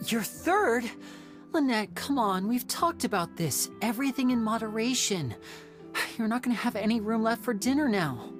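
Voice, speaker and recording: A composed young stage magician from a game, with a light voice and measured delivery. He has a caring older-brother manner, with faint music behind him. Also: extremely high pitch, sing-song. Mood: gently chiding. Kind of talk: English video game voice acting.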